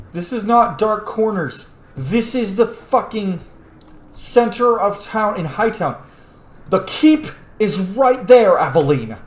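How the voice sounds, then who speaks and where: A man's voice: high voice, reverberant. A man, a young adult, hosting a gaming video with casual, sweary, emphatic commentary. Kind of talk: casual let's-play commentary.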